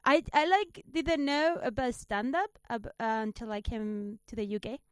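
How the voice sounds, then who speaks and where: Woman, Spanish accent, fairly high clean voice, casual storytelling, giggly self-mocking delivery. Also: moderate pace, clean tone, no room sound, studio quality. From Spain. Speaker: stand-up comedian, interview guest. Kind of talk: casual chat, telling anecdotes.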